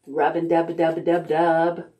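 A woman's voice: deep voice, little pitch movement, takes it slowly, warm tone. A middle-aged woman hosting a toddler storytime, reading aloud and giving instructions in an exaggerated, sing-song way. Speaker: library storytime host for toddlers. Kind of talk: children's storytime, read-aloud and action rhymes. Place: indoor room with stuffed animals and painted backdrop. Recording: roomy echo, music at times.

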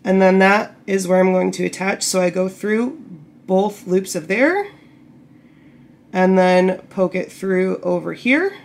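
A woman getting over a cold, a bit croaky, patiently explaining knitting steps while working with her hands. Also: medium pitch, takes it slowly, a little mellow. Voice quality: slightly gravelly. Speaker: knitting tutorial host. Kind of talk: casual step-by-step instruction. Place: indoor table, camera over hands.

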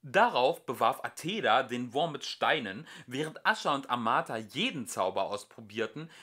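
A man narrates a storybook tale expressively. His voice sits at a medium pitch, moves with animated intonation and has a bright, forceful edge. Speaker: amateur audiobook reader.

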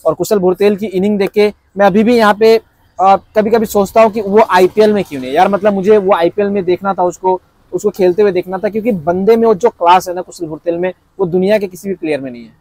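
A young man from India, talking excitedly to camera about cricket with animated, repetitive phrasing and a lapel mic. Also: sits high, quick pace, no echo, rough recording. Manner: animated, repetitive, gestures with hands. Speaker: cricket reaction vlogger. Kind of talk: excited casual commentary to camera. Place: indoors in front of a backdrop screen.

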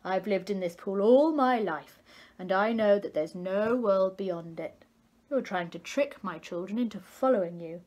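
Lady doing a gruff old-fashioned mum frog, stubborn and telling you off, loud storybook voice. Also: mid-range voice, measured pace, close to an everyday voice. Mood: scolding. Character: gruff, scolding mother frog, stubborn and indignant.

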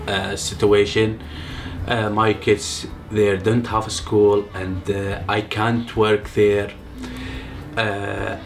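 Syrian guy telling his story slowly on a couch, halting english, lowish voice. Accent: Syrian Arabic. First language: Arabic. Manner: halting non-native English, soft-spoken, many pauses. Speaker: refugee sharing his story. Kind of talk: personal testimony interview. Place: living room, seated on a couch. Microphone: off-camera mic, medium framing.

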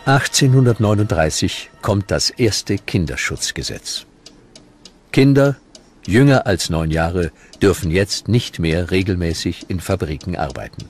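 A man narrating a scripted documentary with dramatic, deliberate storytelling. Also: low-pitched, lively intonation, faint music behind.

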